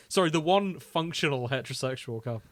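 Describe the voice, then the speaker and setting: A man's voice: fairly high pitch, animated, fairly quick, no room sound. A British man co-hosting a podcast in casual, jokey banter. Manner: jokey, prone to laughing. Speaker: podcast co-host.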